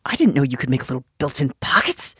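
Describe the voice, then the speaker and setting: A woman acting in an audio drama as a brash, mouthy convict, crude and excitable. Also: extremely low pitch, quick pace, husky, heavily acted. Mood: indignant, excited.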